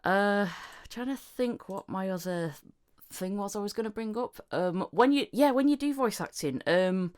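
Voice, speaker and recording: Lady podcast host, giggly, keeps rewording her questions, mid-pitched voice. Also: expressive, moderate pace, a little mellow, smooth. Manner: giggly, restarts questions often. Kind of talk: casual interview chat.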